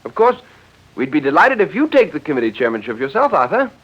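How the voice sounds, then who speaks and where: A muffled old radio recording features a man acting a formal, evasive leader of a meeting. His voice rides up and down widely, with halting euphemisms in forties drama style. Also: on the high side, sing-song, fairly bright tone, pitched above the performer's own voice. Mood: eager, formal.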